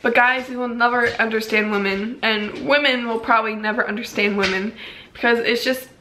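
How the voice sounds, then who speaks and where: A young woman talking casually and candidly in a personal daily vlog, rambling a little and hoarse from a long day of talking. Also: a little high, steady tone, fairly quick, noisy background. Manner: rambling, candid, self-deprecating. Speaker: vlogger.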